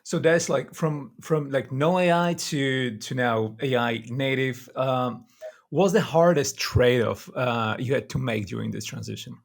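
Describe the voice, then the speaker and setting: A man hosting a conversational interview, speaking in a halting, agreeable way. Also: mid-range voice, vocal fry. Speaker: podcast host, interviewer.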